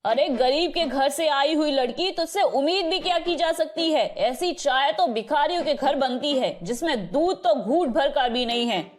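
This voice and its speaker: Lady doing a nasty bossy co-wife, high voice, mocking and ordering, slow. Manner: mocking, domineering. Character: spiteful bossy senior wife.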